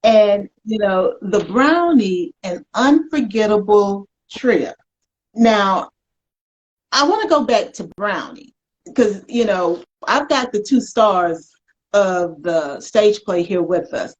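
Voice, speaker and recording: A woman's voice: mid-range voice, expressive, takes it slowly, dull audio. An American woman hosting a casual interview in a chatty, playful, folksy way. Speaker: talk show host.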